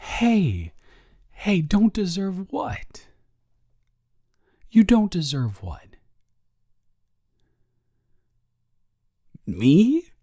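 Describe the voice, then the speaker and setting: A young man playing a caring, flustered roommate in an intimate roleplay audio, rambling and stammering as he gently reassures a friend. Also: mid-range voice, no echo, studio quality. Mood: earnest, insistent. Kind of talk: improvised intimate roleplay audio, one-sided conversation.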